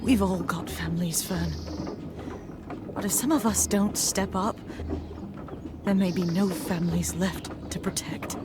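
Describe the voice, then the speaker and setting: A woman's voice: lowish voice, a little slow, pauses often, noisy background, lightly acted. A woman playing a young female rabbit rebel, passionate and insistent, in a fantasy video game. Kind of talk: dramatic video game dialogue.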